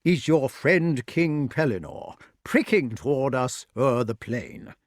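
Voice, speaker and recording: A man voicing a composed, mysterious old wizard in an audiobook, speaking in a measured, grand, dry manner. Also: medium pitch, expressive, dry recording. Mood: satisfied. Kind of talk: audiobook character voice.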